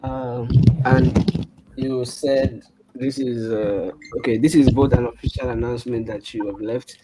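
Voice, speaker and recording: A man with a Nigerian accent hosting a casual online interview, relaxed and chatty, with many short acknowledgements. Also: mid-range voice, unhurried, noisy background. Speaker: livestream host.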